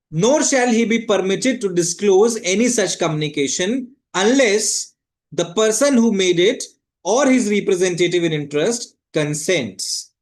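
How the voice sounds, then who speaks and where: Indian guy teaching like a law lecturer, pretty high voice, explains stuff and repeats key bits. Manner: didactic, repeats phrases for emphasis.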